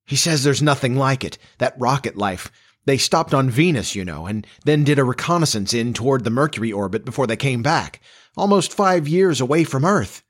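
A man voicing an eager, starry-eyed nineteen-year-old farm boy in a storybook, with an excitable, folksy, boyish manner. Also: mid-range voice, normal speed, pitched above the performer's own voice, close to an everyday voice.

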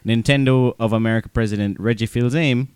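A man co-hosting a podcast, talking casually about films in a halting way with repeated words. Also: mid-range voice, steady tone, darkish tone, clear, resonant tone, no room sound. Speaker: podcast co-host. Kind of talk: casual film discussion, reading out quotes and notes.

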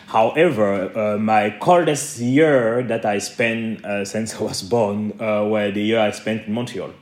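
A man's voice: fairly low pitch, fairly even intonation, a little slow, reverberant. A man from Cameroon, a guest professor on a podcast, speaking politely and measuredly with some hesitation. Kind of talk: podcast interview.

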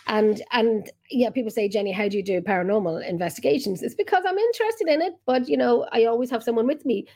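This is an Irish woman talking on a video call, her voice mellow and dark rather than bright. She rambles through stories with a chatty, self-interrupting flow, like a host settled into easy conversation. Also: a little high, animated, fast talker. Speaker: podcast host and paranormal investigator. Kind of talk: casual chat over video call.

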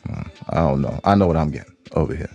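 A man's voice: a bass voice, lively intonation, quick pace, gravelly, darkish tone, highs cut off. A man co-hosting a podcast with casual, slangy, mock-defensive banter, often repeating himself. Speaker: podcast co-host.

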